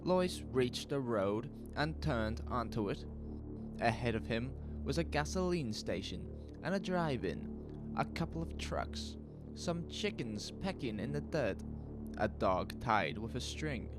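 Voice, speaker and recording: A man narrating a horror story in a measured, dramatic, suspenseful way, in short phrases. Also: medium pitch, very animated, music in the background.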